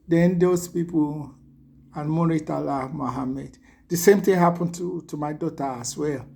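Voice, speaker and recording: A middle-aged Nigerian man tells a long personal story straight to his viewers in a chatty, rambling way. His voice is gravelly and mellow and sits a little high for a man. He keeps checking in with the listener as he talks. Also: unhurried. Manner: chatty, repeats "you understand?" to the viewer. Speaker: vlogger. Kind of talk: casual storytelling to camera.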